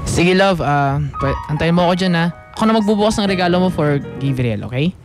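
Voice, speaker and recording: A man's voice: high voice, lively intonation, brisk. An actor with a Filipino accent playing an affectionate, reassuring young father in a radio drama. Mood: eager, loving. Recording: soft music under dialogue.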